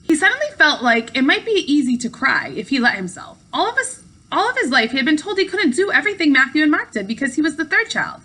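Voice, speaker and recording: A woman's voice: high-pitched, very animated, quick pace, sharp tone. A woman narrating a children's story aloud expressively, with the occasional stumble and reread. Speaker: teacher reading aloud to pupils.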